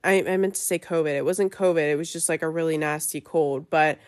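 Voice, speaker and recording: A woman's voice: low voice, steady tone, a little fast. A young woman hosting her own podcast in a chatty, casual way with many fillers, her voice stuffy and nasal from a cold. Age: young adult. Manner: chatty, lots of fillers and likes. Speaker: podcast host. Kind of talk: casual chat.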